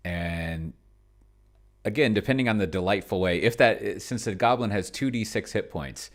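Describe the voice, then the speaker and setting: A man giving casual think-aloud commentary on a solo tabletop game, halting and muttering to himself in a gravelly voice. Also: fairly low pitch. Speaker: solo tabletop gamer, video host.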